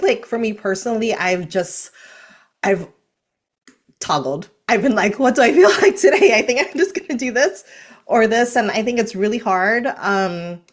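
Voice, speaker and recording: A woman from Hawaii telling stories in a casual panel chat, acting out quoted lines as she goes. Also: medium pitch. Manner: animated storytelling with quoted asides.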